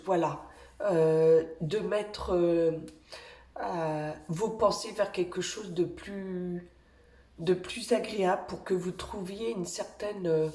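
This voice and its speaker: Slow, soft-spoken woman with a cold. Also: fairly low pitch, echoey room. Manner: gentle, reassuring, pauses often while drawing cards. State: has a cold. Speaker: energy healer giving weekly card guidance. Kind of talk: slow, hesitant spoken guidance with many fillers.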